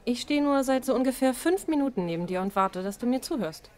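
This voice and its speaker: A woman plays a sassy barmaid in her thirties for an audio drama. The voice sits fairly high and moves with lively intonation, energetic and good-hearted. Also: fairly quick, close to an everyday voice. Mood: scolding, caring. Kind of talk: German audio drama acting.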